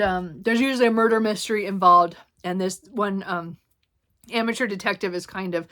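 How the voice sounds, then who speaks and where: A woman chatting casually about her new books, rambling with many ums and self-corrections, a little hoarse and clearing her throat. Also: medium pitch, fairly bright tone. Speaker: book vlogger. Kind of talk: casual book haul chat.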